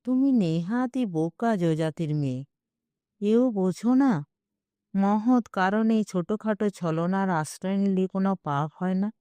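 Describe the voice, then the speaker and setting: A woman's voice: medium pitch, measured pace, dark tone, smooth, no room sound, lightly acted. A woman voicing an imperious, scornful male sage. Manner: dismissive, imperious. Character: arrogant, scornful male sage, self-righteous and domineering. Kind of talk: audio story character reading.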